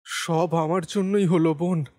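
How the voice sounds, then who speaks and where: A man's voice: on the high side, fairly even intonation, a little slow, a little mellow, no room sound, clean studio sound, close to an everyday voice. A man playing a broken, self-blaming brother in tears in an audio drama. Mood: tearful remorse. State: anguished, crying.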